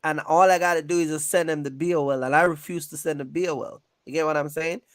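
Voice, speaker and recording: A man's voice: fairly high pitch, fairly even intonation, quick pace, smooth, no echo, studio quality. A man chatting casually and emphatically, often checking that the listener follows. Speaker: trucking business owner and video creator. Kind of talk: casual chat.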